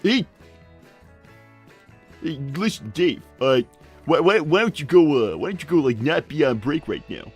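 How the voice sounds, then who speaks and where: A man's voice: a little high, very animated, unhurried, long pauses, breathy edge, soft background music, full character voice. A man voicing a glib, tactless cartoon animal boss who rambles breezily through improvised live roleplay. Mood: breezy. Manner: rambling, blunt, breezy, many digressions.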